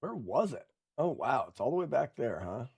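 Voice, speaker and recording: A man's voice: mid-range voice, big pitch swings, normal speed, creaky, darkish tone, slightly rough. A man live streaming a game in a casual way, chatting to viewers and thinking aloud as he goes. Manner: talks to chat, thinking aloud, muttering.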